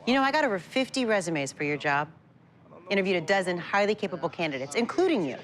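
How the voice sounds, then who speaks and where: A woman's voice: lowish voice, lively intonation, fast talker, voice pushed up, natural voice. An actress playing a stern female federal agent leading her team, dryly reprimanding a new hire. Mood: reproachful. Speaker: special agent in charge.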